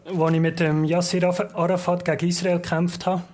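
A man's voice: high voice, little pitch movement, darkish tone. Man interpreting in church. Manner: quick rendering, occasional restarts. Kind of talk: live consecutive interpreting.

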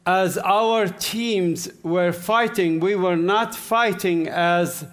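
A man from the Gaza Strip giving a church testimony in short phrases, pausing for an interpreter. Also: high-pitched. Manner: speaks in short phrases with pauses for translation. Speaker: guest speaker. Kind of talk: testimony talk with live interpreter.

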